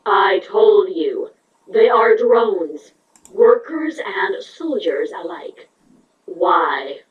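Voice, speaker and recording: A woman as a proud, slow-spoken changeling queen. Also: medium pitch, sing-song, phone-quality sound. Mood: curt, defensive. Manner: grudging, haughty, curt. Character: proud, irritable female insect-pony changeling queen. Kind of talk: amateur dramatic fan fiction reading.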